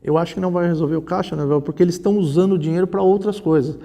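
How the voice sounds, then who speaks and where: Brazilian guy in his 40s explaining stuff in an interview, kinda creaky mellow voice, big echoey room. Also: high-pitched, fairly even intonation, very fast. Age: forties. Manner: explanatory, often seeks agreement with tag questions. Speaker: interview guest, social security lawyer. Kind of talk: conversational explanatory interview talk. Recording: reverberant hall-like room.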